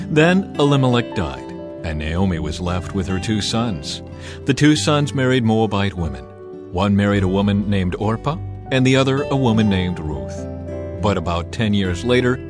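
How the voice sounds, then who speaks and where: Man narrating with animated, swinging intonation. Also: lowish voice. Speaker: narrator of a dramatized Bible audiobook. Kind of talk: scripted dramatic narration.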